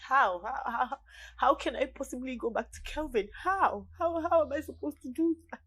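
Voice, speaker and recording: A torn, tearful young wife. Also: female voice, high-pitched, moderate pace, fairly bright tone, husky. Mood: anguished. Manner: anguished and tearful. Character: torn young wife between two husbands. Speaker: pregnant wife.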